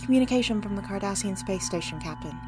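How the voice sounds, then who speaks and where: Woman as logical space officer, clipped, analytical, wary, mid-pitched, sci-fi radio play, clear music behind. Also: animated, fast, natural voice. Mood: cool, logical. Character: coolly logical, wary female starship commander. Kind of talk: sci-fi radio drama acting.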